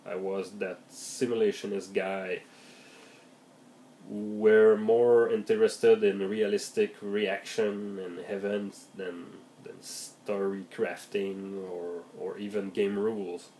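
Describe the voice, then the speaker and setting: A man with a heavy cold, his voice raspy, talking casually and hesitantly with many fillers as he shares tips for game masters. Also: on the low side, flat delivery, slow, warm tone, a little hiss or hum, low-quality recording. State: has a heavy cold, voice deeper and raspier than usual. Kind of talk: casual vlog monologue.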